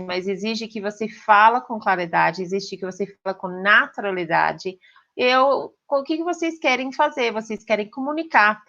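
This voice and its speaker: A woman's voice: mid-range voice, fairly bright tone. Woman teaching, checking in with "ok?". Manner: direct, addressing students, frequent "ok?" checks. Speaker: English teacher. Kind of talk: online pronunciation lesson. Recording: slightly dull lo-fi recording.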